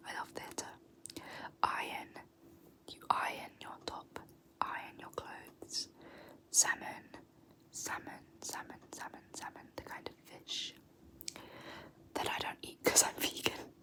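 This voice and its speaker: A young British woman whispering softly in an ASMR video, repeating words slowly with small laughs. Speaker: ASMR creator. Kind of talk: whispered ASMR word pronunciation.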